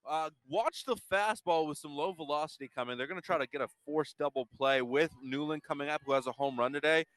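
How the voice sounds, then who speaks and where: A man working as color commentator on a softball broadcast, giving casual analysis between pitches. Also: fairly quick.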